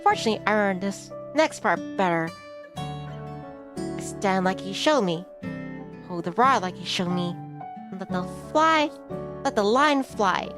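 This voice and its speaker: A woman's voice: mid-range voice, lively intonation, measured pace, pauses often. A woman streamer reading a visual novel aloud, mixing narration with casual asides. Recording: music behind the voice.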